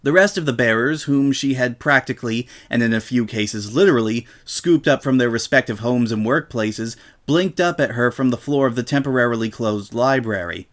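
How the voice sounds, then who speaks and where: A man's voice: mid-range voice, normal speed, hardly any pauses. Man narrating a comedic story. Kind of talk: dramatic reading of comedic fiction.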